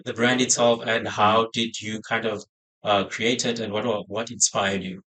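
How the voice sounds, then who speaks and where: A male podcast host with a fairly low voice runs an interview. He puts his questions together slowly, with uhs and small restarts along the way. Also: level tone, pushed and bright, poor audio. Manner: halting questions with fillers. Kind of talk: interview hosting.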